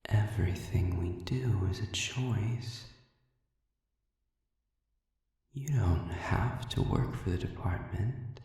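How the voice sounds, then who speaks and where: A man playing a sadistic, manipulative demon in an intimate audio roleplay, murmuring smoothly with false humility, deliberate and breathy with long pauses. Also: low-pitched, dark tone, far from the mic, heavily acted. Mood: coaxing, flattering. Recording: cavernous reverb, voice set back.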